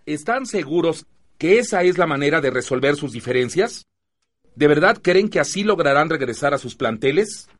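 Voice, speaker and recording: A Mexican man playing an earnest, firm youth-group facilitator in a radio drama, speaking in a measured, didactic way. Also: on the high side, animated. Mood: firm, stern. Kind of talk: Spanish-language radio drama acting.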